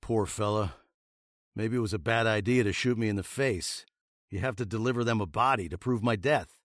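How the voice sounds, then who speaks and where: A man's voice: fairly low pitch, moderate pace, fairly bright tone, dry recording, studio quality, close to an everyday voice. An actor playing a wry fugitive western hero, narrating his tale in a literary, sardonic way for a radio drama.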